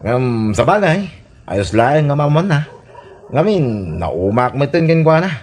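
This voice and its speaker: An actor with an Ilocano Filipino accent playing an evasive, irritable, smooth-talking young man in a comedy radio drama. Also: mid-range voice, big pitch swings, a little slow, darkish tone. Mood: casual, fed up.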